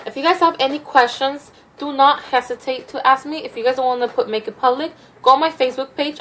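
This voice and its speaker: A woman's voice: fairly high pitch, a bit bright. A young woman from Mexico with a Mexican accent, chatting through a makeup tutorial in a rambling, self-conscious way with many restarts. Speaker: makeup vlogger.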